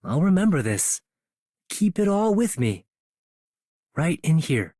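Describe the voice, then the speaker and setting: A man's voice: a little high, sing-song, slow pace, dark tone, no room sound, clean studio sound, heavily acted. A man voicing an earnest, kindhearted young medical helper in a game, sincere and reflective. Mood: warmly reflective. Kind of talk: game character voice line.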